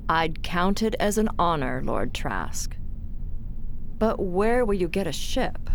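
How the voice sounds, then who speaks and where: An actress playing a tough, seasoned starship captain with a blunt, theatrical way of talking in a science fiction audio drama. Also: female voice, on the low side, animated, slow pace, vocal fry, a little hiss or hum, full character voice. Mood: approving.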